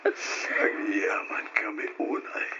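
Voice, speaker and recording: An actor playing a sick, remorseful old uncle in a radio drama, with strained, tearful and halting speech. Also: male voice, lightly acted. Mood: tearful gratitude. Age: older. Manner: slow, tearful, halting delivery. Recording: dull, noisy old radio recording.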